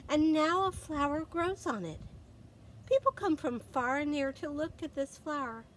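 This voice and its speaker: Female storyteller for young children: higher-pitched, very expressive read-aloud, faint background noise. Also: low-quality recording. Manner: expressive, dramatic pauses, gasps and exclamations for effect.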